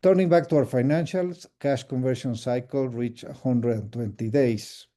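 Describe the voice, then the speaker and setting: A man with a very dark, soft-edged voice delivers a formal, partly scripted financial presentation. He speaks as a company executive, explaining figures in a measured way and leaning on the important points. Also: mid-range voice.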